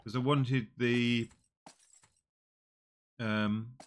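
A man in his forties with a slightly nasal voice from a cold, quietly talking through a mobile puzzle game on a headset. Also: medium pitch, steady tone. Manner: slow, muttering play-by-play, often trailing off. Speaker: gaming channel host. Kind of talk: casual mobile game commentary. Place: small room indoors.

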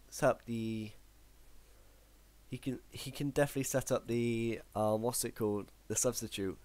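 A man with a croaky voice commentating his own online battle, thinking aloud with frequent ums and self-corrections. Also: medium pitch, no room sound. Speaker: gaming commentator. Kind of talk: live battle commentary over gameplay.

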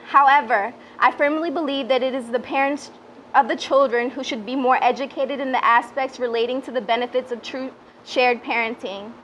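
A woman's voice: sits high, a bit bright. A woman in her twenties from the Washington DC area, born in Peru, giving an earnest, slightly hesitant personal speech into a handheld microphone at a small event. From born in Peru, grew up in the Washington DC area. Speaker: public relations director giving a speech. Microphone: handheld microphone, lapel mic also worn. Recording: muffled, noisy live PA recording.

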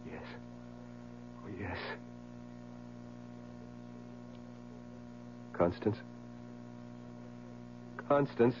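An actor playing a grief-stricken, haunted husband in a theatrical, impassioned 1970s radio drama style. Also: male voice, lowish voice, lively intonation, extremely slow. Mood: anguished. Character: anguished, grief-stricken husband haunted by his dead wife. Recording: muffled old radio recording, mains hum.

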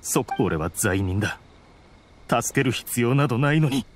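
A man performs a guilt-ridden blue oni from an anime game. He speaks gravely and quietly, as one weighed down, over faint ambient noise. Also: medium pitch, expressive, unhurried, lightly acted. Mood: guilty, subdued. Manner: grave, subdued. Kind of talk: Japanese anime game voice acting.

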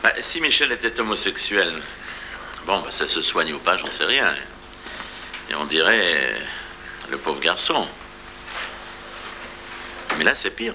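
An actor playing an indignant older bourgeois man in a film scene, speaking in a scornful, grumbling way. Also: extremely low pitch. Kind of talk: 1980s film dialogue. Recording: film soundtrack with clear noise.

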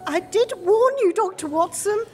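Very high-voiced society lady. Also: lively intonation, measured pace. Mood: playful, coaxing. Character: gracious, flirtatious Victorian society lady. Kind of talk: period audio drama acting. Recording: loud music behind the voice.

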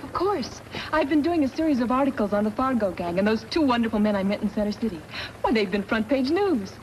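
Actress as keen young girl reporter, higher voice, earnest, old fifties radio drama, slight hiss. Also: lively intonation, quick pace, natural voice, rough recording. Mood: eager. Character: eager young woman newspaper reporter. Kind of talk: 1950s radio western acting. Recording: old radio recording, faint noise.

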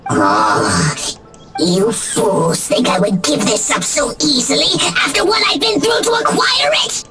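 A woman's voice: high-pitched, big pitch swings. A woman voicing a scheming, mischievous little mage creature in a video game, theatrical and sneering. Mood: gloating. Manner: scheming, theatrical, cackling, drawn-out. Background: loud game music.

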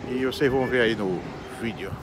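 A man's voice: mid-range voice, brisk. A middle-aged Brazilian man narrating a casual walking vlog outdoors on a handheld phone. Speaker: vlogger. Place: outdoors by a roadside building. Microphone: handheld phone at arm's length. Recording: very noisy outdoor wind and traffic.

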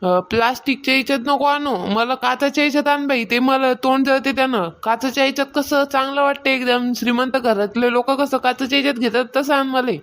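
Cartoon mistress of the house, played by a woman: higher-pitched, bossy, stingy, self-important, nagging in rustic Varhadi Marathi. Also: fairly even intonation, a little fast, hardly any pauses, a bit bright. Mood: self-satisfied, demanding. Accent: Varhadi Marathi, rural Maharashtra. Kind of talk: Marathi cartoon comedy skit.

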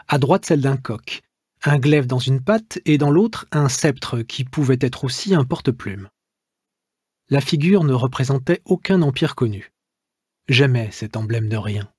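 Man reading his memoir, husky and dry. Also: mid-range voice, darkish tone, no room sound. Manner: measured, literary first-person reading. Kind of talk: audiobook narration.

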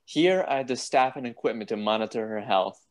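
Mid-pitched male voice, actor as troubled doctor, polite, formal, radio drama. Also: brisk, lightly acted. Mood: worried. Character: courteous, troubled gentleman doctor running a sanitarium. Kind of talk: radio play acting.

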